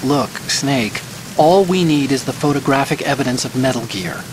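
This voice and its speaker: An American actor playing an earnest, brainy young hacker in a video game, guiding an agent in an articulate, slightly fussy way. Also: male voice, medium pitch, expressive, moderate pace, background noise, lightly acted. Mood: earnest, reassuring. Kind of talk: video game cutscene voice acting.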